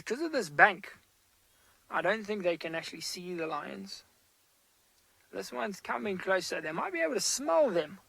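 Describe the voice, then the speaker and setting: A man guiding a live game drive, explaining loudly and with energy from an open vehicle. His voice sits high with a gravelly edge, and the sound is dry and close. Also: dry recording, low-quality recording. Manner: loud, animated explaining. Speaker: safari guide. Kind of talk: live wildlife commentary.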